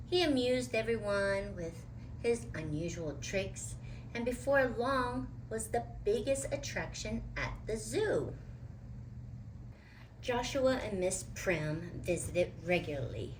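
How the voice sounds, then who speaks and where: A woman storyteller reads a picture book at a slow pace, with lively swings in pitch. She leans expressively on key words, with audible noise in the background. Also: low-quality recording. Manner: expressive, dramatic emphasis. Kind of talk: children's picture book read-aloud.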